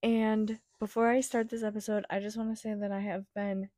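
A young woman hosting her own podcast, her voice raspy from a week-long cough, chatting in a gentle, reassuring, rambling way. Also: medium pitch, flat delivery, fairly quick, no echo, studio quality. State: raspy from a cold. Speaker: podcast host. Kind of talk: casual solo podcast chat.

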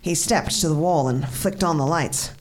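An actress playing a novelist who reads a melodramatic mystery manuscript aloud, measured and dramatic. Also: female voice, sits low, slight background noise, pitched below the performer's own voice, close to an everyday voice, rough recording. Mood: tense, dramatic. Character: novelist reading her melodramatic manuscript aloud, voicing characters. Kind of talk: dramatic read-aloud narration.

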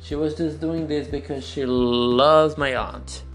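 Brazilian man, young adult, slow halting delivery, phone recording, faint guitar behind. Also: fairly high pitch, steady tone. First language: Portuguese. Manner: slow, searching, candid, with pauses and restarts. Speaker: podcast host. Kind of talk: solo personal storytelling podcast.

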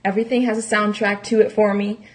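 A woman's voice: mid-range voice, level tone, fast. A woman in her late twenties co-hosting a podcast, chatting casually about herself. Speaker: podcast co-host.

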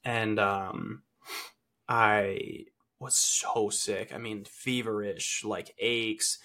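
A young man co-hosting a fitness podcast, a little congested and nasal from a cold, talking in a relaxed, chatty way with lots of fillers. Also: fairly low pitch, very slow. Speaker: fitness coach and podcast co-host. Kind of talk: casual podcast chat.

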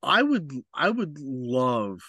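A man's voice: medium pitch, very animated, slow pace, pushed and bright, no echo. A man co-hosting a podcast, chatting casually and giving blunt opinions with plenty of swearing. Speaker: podcast co-host. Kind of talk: casual opinionated chat about games and films.

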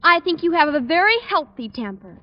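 Very high-voiced, sweet teenage girl. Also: sing-song, fairly quick. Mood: placating, innocent. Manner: girlish, earnest, placating. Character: sweet, placating teenage schoolgirl, the principal's daughter. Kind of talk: 1940s-50s radio sitcom acting. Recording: muffled vintage radio recording.

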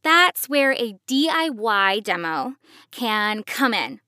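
Higher-pitched woman podcast host. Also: sing-song, takes it slowly, bright, forceful tone, no room sound, studio quality. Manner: explanatory, addressing parents. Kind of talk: conversational podcast advice.

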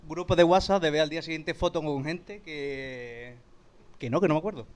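A man's voice: on the high side, normal speed. A shy man from Cádiz with a Cádiz accent, pulled from the audience and giving short, dry answers. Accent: Andalusian (Cádiz). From Cádiz, Spain. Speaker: audience member turned guest.

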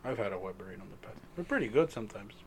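Animated, profane young man with faint noise. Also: on the low side, rapid-fire. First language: Spanish. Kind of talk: casual chat among friends.

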